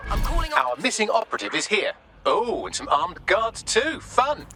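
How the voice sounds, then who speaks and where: A man's voice: sits high, big pitch swings, moderate pace, bright, forceful tone, faint music behind, heavily acted. A man voicing a smug, sardonic British AI assistant in a video game, with a wry, wordy, theatrical manner. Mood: breezy, wry. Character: cheeky sardonic male AI assistant, quippy and smug.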